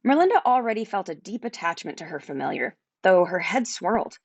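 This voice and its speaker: A woman narrating a children's fantasy book aloud as its author, in an expressive storytelling style. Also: medium pitch, brisk, creaky, slightly rough, dry recording, lo-fi sound. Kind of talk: animated children's audiobook narration.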